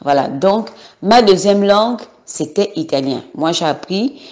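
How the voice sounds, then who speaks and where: A woman's voice: mid-range voice, expressive, measured pace, darkish tone. A woman from Gabon in her thirties giving an unscripted personal testimony, hesitant, with many fillers and repeated words.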